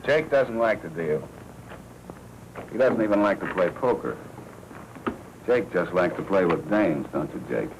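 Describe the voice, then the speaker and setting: An actor playing a sardonic, menacing American crime boss and jealous husband in a 1940s film noir. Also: male voice, low voice, lively intonation, a little slow, pauses often, muffled recording. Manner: sardonic, needling, tough slangy talk. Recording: noisy old 1940s film soundtrack.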